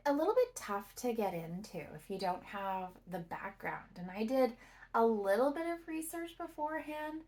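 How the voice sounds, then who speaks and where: A woman giving a casual, reflective book review to camera, speaking thoughtfully in phrases broken by pauses. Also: mid-range voice.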